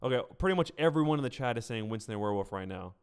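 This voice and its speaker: A man's voice: medium pitch, animated, racing, clean tone. A man giving casual livestream gaming commentary, speaking conversationally and directly to his viewers. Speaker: streamer and gaming news host.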